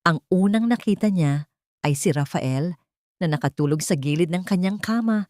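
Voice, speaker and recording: Low-voiced woman narrating a drama. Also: normal speed, no room sound, studio quality. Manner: steady dramatic storytelling. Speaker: storyteller.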